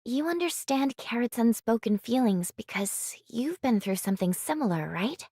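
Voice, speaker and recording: A woman's voice: on the high side, expressive, normal speed, mellow, breathy edge, no echo, clean studio sound. A woman voicing an easygoing, supportive young companion in an anime game. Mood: easygoing, warm.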